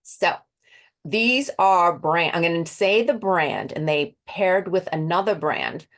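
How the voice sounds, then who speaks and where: A woman's voice: lowish voice, animated, slow pace, a bit bright. A woman with a Boston accent interviewing a guest, chatty and laughing often, often restarting her sentences. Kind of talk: casual interview with a quiz.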